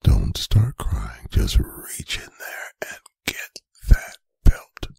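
Man acting a very deep, gravelly whispering domineering boyfriend. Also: wildly swooping pitch, darkish tone, no echo, clean studio sound, full character voice. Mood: stern, commanding. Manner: slow, deliberate, menacing, with long pauses. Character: stern, angry, domineering boyfriend scolding his adult partner. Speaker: ASMR voice performer. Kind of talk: whispered roleplay ASMR. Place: bedroom, close and dry.

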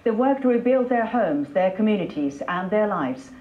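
A woman's voice: mid-range voice, animated, normal speed. Woman news anchor, echoey dull playback. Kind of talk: scripted news presenting. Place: broadcast replayed over speakers in a lecture room.

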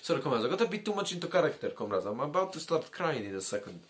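A man's voice: fairly high pitch, very animated, racing. A man delivering an informal political commentary as a brash, boastful comic communist persona, swearing freely and addressing listeners as comrades. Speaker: video host.

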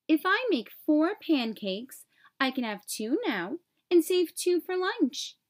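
A woman's voice: high-pitched, big pitch swings, takes it slowly, pitched above the performer's own voice, heavily acted. A woman voicing a fussy, easily exasperated man in a storybook, speaking in a precise, exclamatory way. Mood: eager, precise. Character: fussy, easily exasperated man who loves even numbers. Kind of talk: storybook character voice.

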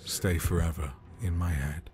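A man voicing a demanding, sinister little monster prince in a bedtime storybook, with theatrical, drawn-out delivery. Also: low voice, fairly even intonation, a little mellow, slight background noise. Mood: sinister coaxing.

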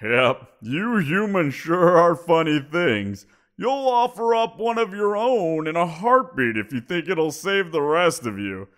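A man's voice: on the high side, big pitch swings, unhurried, hardly any pauses, a bit bright. A man voicing a giant wolf creature in an audio roleplay, teasing and amused, chuckling as he talks. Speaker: voice actor.

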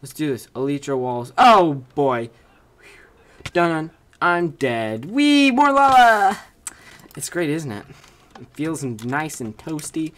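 A teenage boy commentating his own game play at home, reading signs aloud and yelping loudly at his wins and deaths, his voice going hoarse. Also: on the high side, slight background noise. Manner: shouts and squeals when failing or winning, reads signs aloud. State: losing his voice. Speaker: gaming video creator. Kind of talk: excited gameplay commentary. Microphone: desk mic with webcam.

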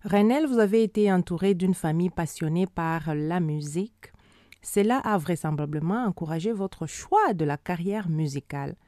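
A woman hosting a radio show, asking formal, measured interview questions. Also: fairly low pitch, dark tone, dry recording, studio quality. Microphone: studio microphone.

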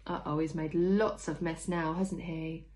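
A woman's voice: deep voice, steady tone, unhurried, a little mellow, a little hiss or hum. A young woman, a primary school teacher, leading a read-along phonics lesson for small children, sounding out words letter by letter and putting questions to them.

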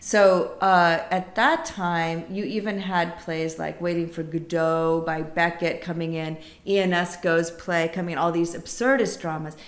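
A woman speaking as an interview guest, her voice sitting a little low for a woman. She tells stories in an animated, chatty way, piling up quick asides and stopping now and then to laugh. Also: roomy sound. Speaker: casting director and theatre director, guest. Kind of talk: conversational podcast interview.

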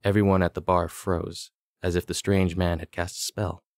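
A man narrating a scripted fantasy audiobook with deliberate, dramatic storytelling. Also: deep voice, little pitch movement, dry recording, studio quality.

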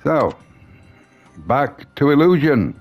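A man's voice: mid-range voice, big pitch swings, takes it slowly, faint music behind. A man giving casual commentary as host of a gameplay video.

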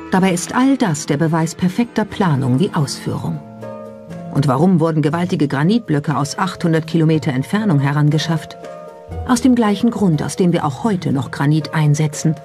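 A narrator reading a scripted documentary in a measured storytelling style. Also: medium pitch, a little mellow.

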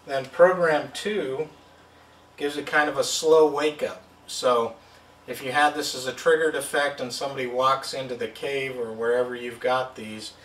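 A man's voice: mid-range voice, fairly even intonation, normal speed, fairly bright tone, far from the mic. A man giving a plain, step-by-step product demonstration at a table indoors. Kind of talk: hands-on product demo. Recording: roomy sound from off-mic.

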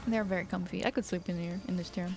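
A woman's voice: fairly low pitch, fairly even intonation, quick pace, gravelly, loud music behind the voice. Giggly Dutch-accented woman streaming. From Netherlands. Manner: giggly, playful, laughs often. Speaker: community manager co-host of a game stream. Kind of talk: casual chat while playing a game. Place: small stream room indoors. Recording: noticeable background noise.

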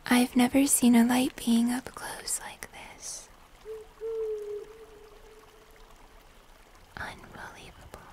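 A young woman playing a gentle, wistful moth-human hybrid of the woods in a slow, intimate fantasy ASMR roleplay. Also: fairly high pitch, little pitch movement, close to an everyday voice. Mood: awed, curious. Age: young adult. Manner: slow, soft, entranced, intimate.

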